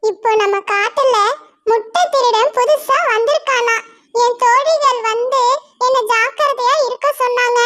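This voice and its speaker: A woman's voice: extremely high pitch, fairly even intonation, measured pace, fairly bright tone. A woman voicing Latchu, a worried mother bird and wife, in an anxious, pleading manner.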